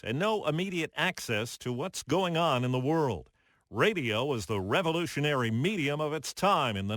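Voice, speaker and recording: American radio anchor, dry studio sound. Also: male voice, fairly high pitch, fairly bright tone, dry recording. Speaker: radio news anchor and host. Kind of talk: broadcast interview and scripted narration.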